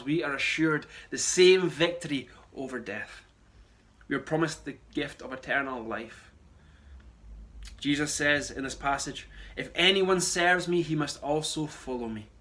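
A man's voice: fairly high pitch, sharp tone. A man in his thirties giving an earnest, emphatic short sermon to camera indoors. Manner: earnest, emphatic, repeats key phrases. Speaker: pastor. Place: small room at home, indoors.

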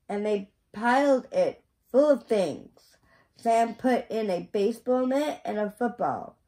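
A middle-aged woman reading a children's picture book aloud in a careful, deliberate manner. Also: mid-range voice, a little mellow. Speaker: storybook reader. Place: small indoor bedroom.

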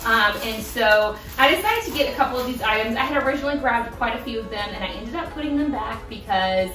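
A woman's voice: a little high, lively intonation, quick pace. Chatty woman in her 30s showing off a haul, laughs a lot, kinda far from the mic. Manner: bubbly, chatty, laughs often. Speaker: vlogger. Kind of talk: casual haul chat. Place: home kitchen, indoors. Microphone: camera across the room. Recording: roomy kitchen, voice from off-mic, music behind.

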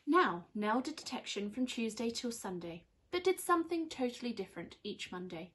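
A young woman reads a rhyming children's storybook aloud in an expressive, teacherly way. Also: a little high, moderate pace, a little hiss or hum. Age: young adult. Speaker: primary school teacher reading aloud. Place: small indoor room on a sofa.

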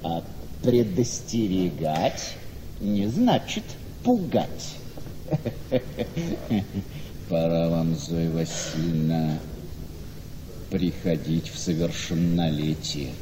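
An actor playing a cynical, mocking gentleman in a radio play, with a deliberate, sardonic, theatrical delivery. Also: deep voice, animated, exaggerated voice. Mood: cool warning. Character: cynical, mocking gentleman needling a friend's young wife. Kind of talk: Russian classic radio play acting. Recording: reverberant hall-like studio, faint noise.

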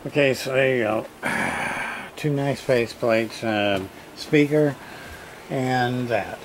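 A man's voice: mid-range voice, slow. A middle-aged man muttering short, casual, unscripted remarks to himself while handling things in a cluttered room. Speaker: hobbyist unboxing a radio parts package. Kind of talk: casual unscripted unboxing commentary. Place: small cluttered room indoors. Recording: very noisy home camcorder audio, rustling.

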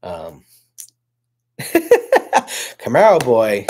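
A man in his thirties co-hosting a casual car livestream from a living room, chatting to viewers with lots of fillers and friendly shout-outs. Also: medium pitch, huge pitch swings, drawn-out words, slight background noise. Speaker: car channel co-host reading live chat.

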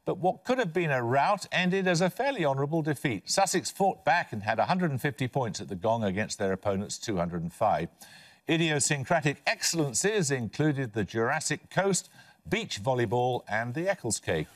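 An older man hosting a television quiz in a studio, with a crisp, clipped, authoritative delivery. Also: mid-range voice, very animated, moderate pace. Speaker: quiz host.

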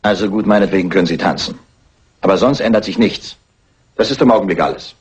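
A man playing a stern, sceptical police inspector in a dubbed classic mystery film, firm, official and clipped, with a raspy voice. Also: low-pitched, fast talker.

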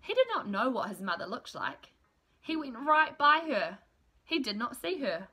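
A woman in her thirties reading a children's storybook aloud in an expressive, deliberate, emphatic way. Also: fairly high pitch, a little hiss or hum. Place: small room at home, indoors.

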